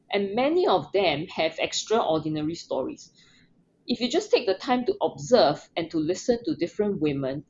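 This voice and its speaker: A woman's voice: fairly low pitch, a little mellow, highs cut off, a little hiss or hum. A woman from Singapore, a podcast guest talking earnestly with many fillers and restarts. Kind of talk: casual interview.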